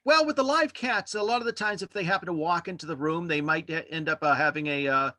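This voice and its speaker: Bright, higher-voiced man chatting casually. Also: fast, dry recording, clean studio sound. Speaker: podcast host being interviewed as a guest. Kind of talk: casual interview chat. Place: indoors at home, on a video call.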